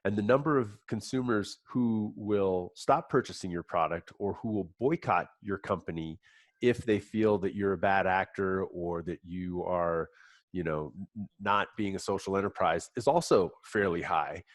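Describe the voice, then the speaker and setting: A man presenting in a webinar, stuffed up with a cold, talking haltingly with many fillers and restarts. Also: low-pitched, no room sound, clean studio sound. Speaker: app company guest.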